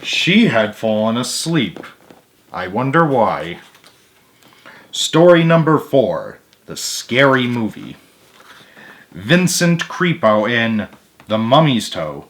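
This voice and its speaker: Slow-paced man with swooping pitch reading aloud. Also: very animated, a bit bright. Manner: chatty, interjects own comments and chuckles. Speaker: story reader, host of a read-aloud series. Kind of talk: picture-book read-aloud with casual asides.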